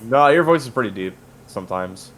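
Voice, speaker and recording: A man's voice: medium pitch, animated, brisk, fairly bright tone, slight background noise. A man guesting on a podcast in casual chat, polite and joking as he thanks the host.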